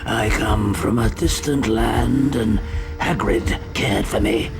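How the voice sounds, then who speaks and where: Ancient giant spider, slow and creaky. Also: male voice, fairly low pitch, over music. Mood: solemn. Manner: slow, grave, deliberate. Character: ancient giant male spider, solemn and menacing. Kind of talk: video game voice acting.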